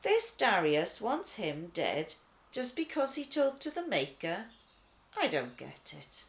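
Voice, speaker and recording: A woman's voice: medium pitch, huge pitch swings, takes it slowly, heavily acted. A woman narrating a children's storybook as a thoughtful, curious lion, wry and questioning.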